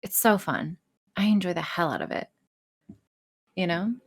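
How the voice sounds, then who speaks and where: Woman, slightly low voice, candid podcast chat, sweary, self-deprecating, frank. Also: expressive, moderate pace, slightly rough. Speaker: voice actress and audio creator being interviewed.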